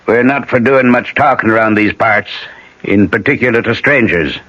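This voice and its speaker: A man playing a guarded Irish country innkeeper in a radio drama, with a sharp, husky voice and an Irish accent. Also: on the low side, normal speed. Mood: wary. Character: guarded rural Irish innkeeper, wary of strangers. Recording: dull lo-fi vintage radio recording.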